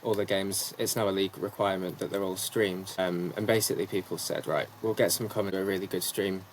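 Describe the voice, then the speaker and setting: A man's voice: sits low, steady tone, brisk, slight background noise, low-quality recording. A young man, a sports commentator and journalist, answering interview questions at length in an articulate, talkative way with frequent ums. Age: young adult.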